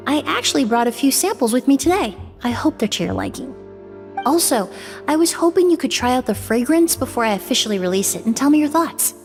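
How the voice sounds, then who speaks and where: A composed young perfumer and investigator from a fantasy game. Her slightly high voice stays calm and measured as she explains. Faint music plays underneath. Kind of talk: game character dialogue.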